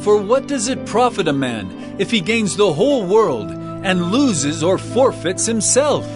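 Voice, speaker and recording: A man playing an earnest, authoritative teacher in a dramatized audio Bible, speaking in a declamatory way. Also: high voice. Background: music.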